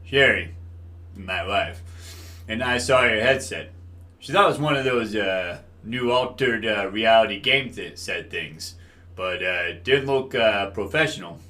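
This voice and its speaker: Male actor as genial middle-aged consultant, low pitch, bright edge, halting, polite, audio drama. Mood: hesitant, polite. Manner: halting, polite, full of hesitations. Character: genial older military engineering consultant, hesitant, empty-nest husband.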